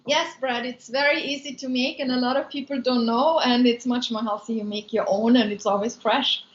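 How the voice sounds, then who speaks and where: A woman from Austria with an Austrian German accent, chatting loosely on a live stream, answering viewer comments with many ums. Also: fairly high pitch. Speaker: food maker and business owner. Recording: echoey room, dull lo-fi sound.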